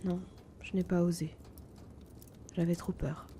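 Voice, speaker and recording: Woman playing a slow, soft-spoken young male potion seller. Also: fairly low pitch, little pitch movement, slight background noise, close to an everyday voice. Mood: sad, fearful. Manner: soft, hesitant, confiding, often breathy. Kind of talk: intimate fantasy audio drama acting.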